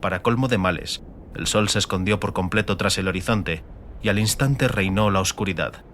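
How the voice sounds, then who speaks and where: A man's voice: sits low, normal speed, fairly bright tone, a little hiss or hum. A man reading scripted, dramatic fantasy narration as an audiobook narrator.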